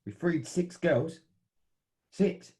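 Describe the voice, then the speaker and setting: Brief: an actor as a brawling football fan, rough-spoken, stumbling over words. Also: male voice, fairly high pitch, extremely slow, mellow. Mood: boastful. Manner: rough, swearing, stammering storyteller. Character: rowdy male football hooligan. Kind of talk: satirical audio drama.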